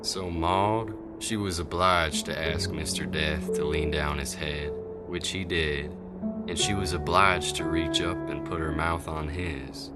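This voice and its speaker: A man's voice: rumbling low, fairly even intonation, a little slow. A man narrating a Western folk tale for a radio drama in folksy storyteller phrasing, with music underneath. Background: guitar music.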